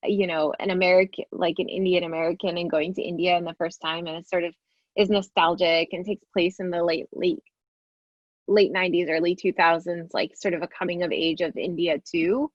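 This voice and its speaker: A woman's voice: on the low side, level tone, a little fast, dry recording, dull audio. A woman whose first language is Telugu, speaking frankly and emphatically as a panelist in a panel discussion.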